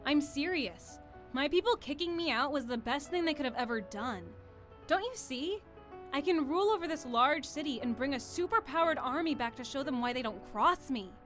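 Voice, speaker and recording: Woman playing a proud, high-voiced exiled royal. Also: animated, moderate pace, a bit bright, faint music behind. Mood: proud, defiant. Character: proud, ambitious exiled young female royal, would-be conqueror. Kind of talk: audio drama acting.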